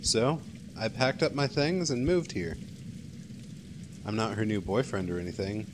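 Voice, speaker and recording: A man's voice: on the low side, measured pace, noisy background, close to an everyday voice. A man with an American accent playing a loyal, protective young friend in an amateur radio play. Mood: sincere, casual.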